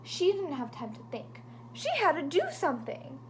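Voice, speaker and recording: A fairly high-voiced woman reads a picture book aloud to children, keeping her voice animated and dropping into hushed whispers at the suspenseful moments. She breaks off for friendly asides to her young listeners, and a faint hum sits behind her. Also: moderate pace. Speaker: librarian reading to children.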